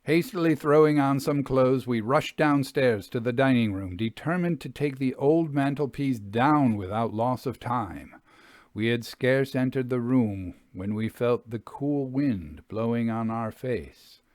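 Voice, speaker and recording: A man reading a classic ghost story as narrator, in a solemn, deliberate and dramatic literary style. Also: mid-range voice, darkish tone, no echo, clean studio sound.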